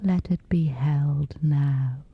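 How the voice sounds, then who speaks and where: A woman gently guiding a scripted sleep meditation in a soothing, breathy whisper, unhurried throughout. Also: deep voice, narrow, tinny audio. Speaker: meditation guide.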